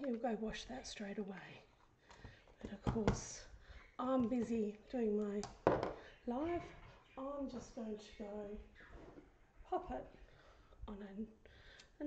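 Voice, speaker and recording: A woman with a croaky, hoarse voice, chatting casually through a craft tutorial and often dropping into a whisper as she works. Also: a little high, background noise. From Australia. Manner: chatty, often drops to a whisper while working. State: hoarse, recovering from illness. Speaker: craft vlogger. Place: craft desk indoors, fan running.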